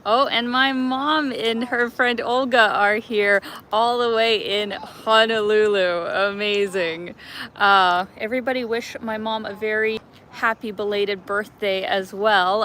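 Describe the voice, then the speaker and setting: Young woman vlogging live outside, crisp bright voice, chatty and giggly, faint traffic hum behind. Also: mid-range voice. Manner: chatty, laughs often, greets viewers by name. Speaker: travel vlogger hosting a live stream. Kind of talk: casual live-stream chat to viewers. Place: outdoors on a skywalk in a city park.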